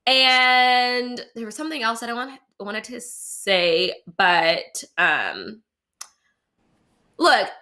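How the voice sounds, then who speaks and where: A woman's voice: on the high side, expressive, takes it slowly, sharp tone. A young woman hosting a casual, chatty online show in a playful, teasing, informal way. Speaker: talk show host. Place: remote livestream.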